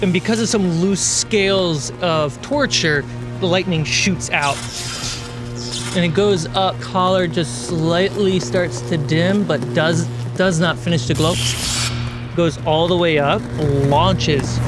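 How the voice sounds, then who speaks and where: A man acting as game master, narrating a tabletop roleplaying game with dramatic pauses. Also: sits high. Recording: loud cinematic music behind. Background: cinematic music and sound effects.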